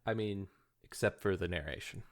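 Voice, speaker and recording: A man's voice: low voice, moderate pace. A young man co-hosting a podcast with casual, joking, teasing banter. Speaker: podcast co-host.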